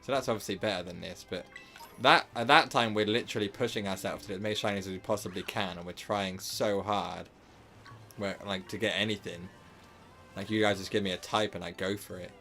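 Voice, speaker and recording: A deep-voiced man talks through a live gameplay stream, a little hoarse from a sore throat. He rambles loosely, restarting sentences and leaning on filler words. Faint game music plays behind him. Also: fairly even intonation, fairly quick, sharp tone. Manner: rambling, self-correcting, lots of filler words. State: sore throat, slightly losing voice. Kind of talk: casual live gameplay commentary.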